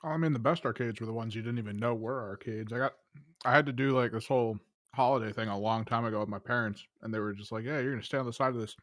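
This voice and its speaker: A man on a gaming panel telling quick, profane anecdotes from his childhood. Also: mid-range voice, no echo, clean studio sound. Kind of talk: casual storytelling.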